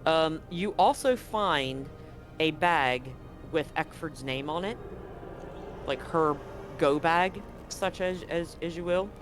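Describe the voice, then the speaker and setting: A man narrating as game master, describing scenes in a halting way with frequent ums and restarts. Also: a little high, a bit bright, soft background music.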